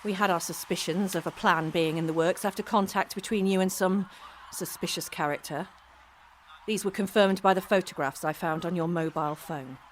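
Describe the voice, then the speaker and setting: An actress playing a stern, commanding police detective in a radio drama, wounded by betrayal. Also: female voice, on the low side, fairly even intonation, moderate pace, slight background noise, close to an everyday voice. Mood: cool, accusatory. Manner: commanding, accusatory.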